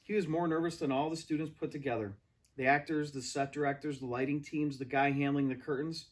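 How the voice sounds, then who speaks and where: A man narrates a story as a read-aloud reader. His voice sits a little high for a man, and his delivery is expressive. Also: a little fast.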